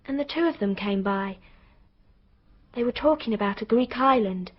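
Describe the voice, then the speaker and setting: A woman's voice: a little high, normal speed, natural voice. An actress playing an earnest young girl witness in a radio drama, confiding what she knows in a hesitant way. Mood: haunted recounting. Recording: dull, muffled radio drama recording.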